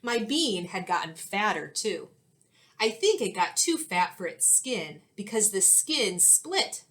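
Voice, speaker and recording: A woman in her thirties speaks as an elementary school teacher leading a read-aloud for young children. Her mid-pitched voice moves up and down in an animated way. She is clear and instructive, shaping her phrases expressively for little listeners. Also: slow. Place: small indoor classroom-like room.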